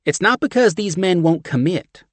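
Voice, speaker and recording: A man's voice: sits high, animated, normal speed, no echo, voice pushed up. A man voicing a brash, flirtatious woman. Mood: brash flirty. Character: brash flirty Southern woman, loud and bold.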